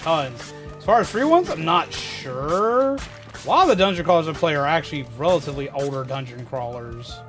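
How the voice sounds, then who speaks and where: High-pitched man chatting as he streams. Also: animated, normal speed, a bit bright. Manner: jokey, playful, repeats phrases. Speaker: game streamer. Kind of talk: casual livestream gameplay commentary with chat. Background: game music.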